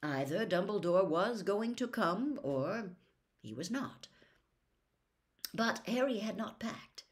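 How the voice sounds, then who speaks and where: A woman in her sixties reading a novel aloud as a storyteller, with dramatic, deliberate phrasing. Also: mid-range voice, lively intonation. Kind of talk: expressive audiobook-style reading of a novel. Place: small furnished room at home.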